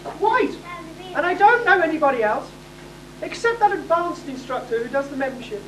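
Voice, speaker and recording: A woman's voice: high-pitched, big pitch swings, moderate pace. A woman in an amateur stage pantomime playing a haughty, stubborn young princess in an imperious, exclaiming manner. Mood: defiant. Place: hall stage, distant microphone. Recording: echoey distant hall, faint noise.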